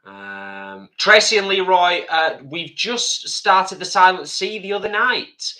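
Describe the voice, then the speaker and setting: A man's voice: high-pitched, slow, rough recording. A middle-aged man co-hosting a live stream in casual chat, reading out viewer comments. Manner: lively, chatty, reads viewer comments. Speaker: co-host.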